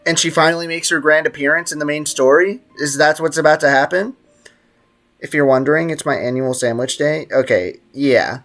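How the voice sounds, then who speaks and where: Joking male game streamer, higher-pitched voice. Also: brisk, fairly bright tone, soft background music. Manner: slangy, joking, teasing. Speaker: live streamer. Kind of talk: casual gaming stream commentary, reading and answering chat.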